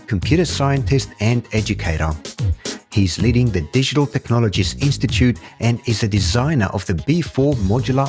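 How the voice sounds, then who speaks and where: A man's voice: medium pitch, a little mellow. A man hosting a podcast, reading an emphatic intro and then chatting loosely with fillers. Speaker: podcast host. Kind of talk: scripted intro then casual chat.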